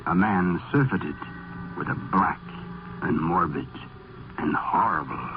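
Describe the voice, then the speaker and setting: An actor playing a grave, ominous storyteller, narrating solemnly and dramatically in the style of 1950s radio. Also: male voice, rumbling low, lively intonation, background noise, heavily acted. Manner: solemn, portentous, slow dramatic delivery. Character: grave, ominous male storyteller of horror tales. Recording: tinny old radio recording over music.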